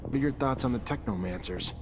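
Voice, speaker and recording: Brisk, terse lieutenant played by a man. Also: medium pitch, noisy background, close to an everyday voice. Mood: calm, inquiring. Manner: terse, questioning. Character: curt, calm male lieutenant hero. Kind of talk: video game dialogue acting. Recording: music behind the voice.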